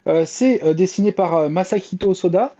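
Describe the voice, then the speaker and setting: A woman's voice: fairly low pitch, fairly quick, mellow, lo-fi sound. A woman giving an enthusiastic, casual review as a manga columnist, with hesitant phrasing.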